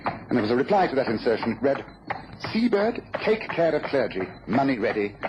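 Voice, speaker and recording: An actor playing a shrewd, commanding British detective in a mid-century radio drama, speaking precisely and briskly. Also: male voice, mid-range voice, big pitch swings, lightly acted. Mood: confident, explanatory. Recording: muffled, noisy old radio recording.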